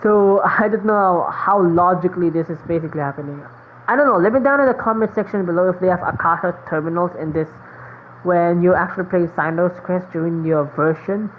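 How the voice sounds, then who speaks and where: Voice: medium pitch, brisk. A gaming streamer giving casual, rambling, reactive commentary over a playthrough. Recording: dull lo-fi mic, game noise behind.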